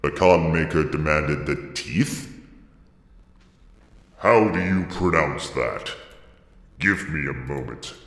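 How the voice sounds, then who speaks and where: A man voicing a pompous demonic lore narrator who reads grandly and haltingly, breaking off into puzzled asides. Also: rumbling low, fairly bright tone, full character voice. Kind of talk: dramatic lore reading broken by asides. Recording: echoey hall reverb.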